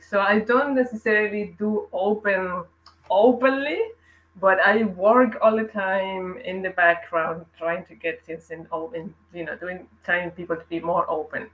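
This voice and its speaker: A woman's voice: lowish voice, a bit bright, lo-fi sound. A woman from Spain with a Spanish accent, chatting as an interview guest about her work, talking freely with many restarts and fillers. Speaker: guest, learning developer. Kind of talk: casual interview chat.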